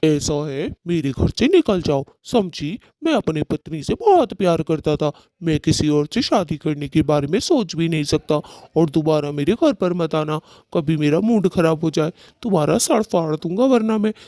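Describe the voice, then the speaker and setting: A melodramatic male cartoon bird acted by a man with a soft-edged, mellow tone and very animated intonation. He sounds like an aggrieved husband, reproachful and scornful, recorded close and dry. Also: on the high side, moderate pace, few pauses, no echo, natural voice. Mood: indignant. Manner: dramatic, reproachful. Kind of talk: Hindi cartoon story dialogue.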